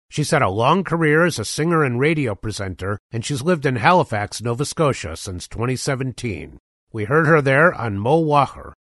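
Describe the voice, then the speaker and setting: A man's voice: mid-range voice, a bit bright, no echo. Man hosting a dry radio show. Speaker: radio host.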